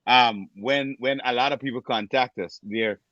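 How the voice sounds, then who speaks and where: A man co-hosting a casual music industry chat, thinking out loud and repeating words as he goes. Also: mid-range voice, moderate pace, no echo, dull audio. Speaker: podcast co-host.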